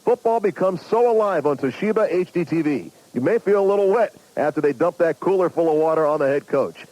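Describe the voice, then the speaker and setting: High-voiced male TV commercial announcer. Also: lively intonation, fairly quick, dark tone, rough recording. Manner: enthusiastic product pitch. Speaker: commercial voice-over. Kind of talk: scripted ad read.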